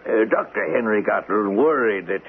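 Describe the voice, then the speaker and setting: Folksy police sergeant on tinny radio. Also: male voice, lowish voice, lively intonation. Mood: folksy, chiding. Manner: halting, drawn-out, folksy. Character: folksy, fatherly male police sergeant, mildly chiding. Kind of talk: 1970s radio drama acting. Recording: noisy, tinny old radio broadcast recording.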